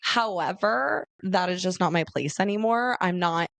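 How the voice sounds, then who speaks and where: A raspy young woman from Arizona hosting a casual solo podcast, chatty and laughing often as she talks to her listeners. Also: lowish voice, normal speed, fairly bright tone, no echo. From Arizona, USA. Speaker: podcast host.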